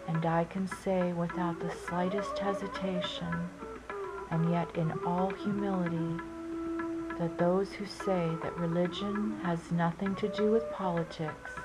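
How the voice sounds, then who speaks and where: Slow, low-voiced woman reading over loud flute music. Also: fairly even intonation, low-quality recording. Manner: measured, deliberate, solemn. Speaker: narrator. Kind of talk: scripted inspirational reading of sayings. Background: Indian classical flute music.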